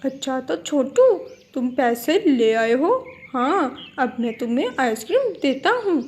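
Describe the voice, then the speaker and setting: A woman's voice: sits high, sing-song, measured pace, darkish tone. A woman voicing a cheerful male bird ice-cream vendor who calls out like a street hawker, in a children's cartoon. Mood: cheerful hawking. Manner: sing-out street hawking, friendly. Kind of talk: children's cartoon story acting.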